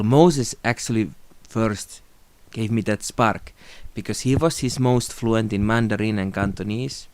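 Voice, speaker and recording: A young man from Finland, a native Finnish speaker, talking calmly and thoughtfully to camera in a small room, without a script. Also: medium pitch, clean tone. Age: young adult. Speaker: video creator. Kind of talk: unscripted tribute talk to camera.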